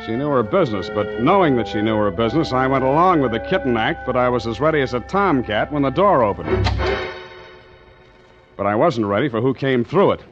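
An actor playing a tough, wry ship's master who narrates his adventure in deadpan 1940s radio noir style. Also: medium pitch, a little fast, lightly acted. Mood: wry narration. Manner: deadpan, wisecracking. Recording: muffled 1940s radio recording with music.

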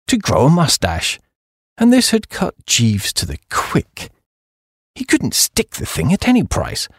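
A man voicing a flighty, excitable young upper-class English gentleman in comic audiobook narration, with breezy, slangy, exclamatory delivery. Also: mid-range voice, breathy edge, no room sound, clean studio sound, full character voice. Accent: British upper-class. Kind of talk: first-person comic audiobook narration in character.

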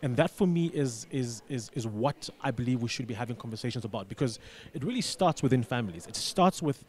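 A man answering as a radio interview guest, explaining deliberately and repeating words as he forms his points. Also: mid-range voice, expressive, a little mellow. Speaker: radio station manager, studio guest.

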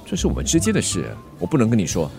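A man's voice: mid-range voice, huge pitch swings, very fast, dark tone, soft background music. A man acting in a radio drama as an earnest, apologetic and evasive young company executive. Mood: anxious, pleading.

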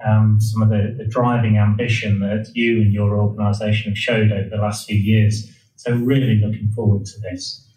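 A man's voice: lowish voice, little pitch movement, normal speed, dark tone. A man hosting a podcast, reading a scripted intro and then asking interview questions in a polite, welcoming way. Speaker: podcast host. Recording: roomy, distant mic with faint music.

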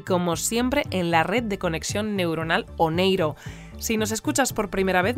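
Woman acting as female bulletin anchor, audio drama, earnest, formal yet easily flustered, mid pitch over music. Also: lightly acted. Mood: announcing. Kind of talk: fiction podcast news broadcast parody.

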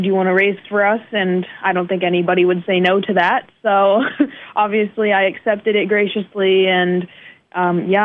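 A young woman from the northeastern United States, a guest racing driver answering in casual interview talk, relaxed and modest with many fillers. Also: medium pitch. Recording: tinny phone-like line.

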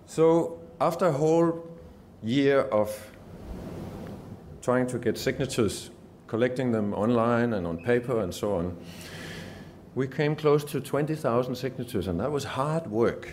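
A man's voice: mid-range voice, unhurried, a little mellow. A man with a Danish accent speaks at a conference podium in an ordinary mid-range voice. He talks earnestly, with frequent restarts and repeated words. A faint background noise sits under the speech. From Denmark. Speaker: journalist giving a summit talk.

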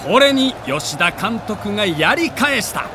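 A male narrator delivers a scripted sports documentary with dramatic emphasis. His voice is high and very lively in pitch, and there is clear noise behind it. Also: a little slow, a crowd in the background.

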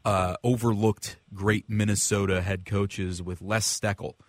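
Dry-sounding, low-voiced American radio co-host. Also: male voice, flat delivery, measured pace, no echo. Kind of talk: casual sports talk radio.

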